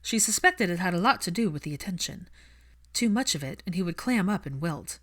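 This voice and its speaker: Woman, audiobook narrator, scripted fiction reading, slightly low pitch. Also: animated, brisk.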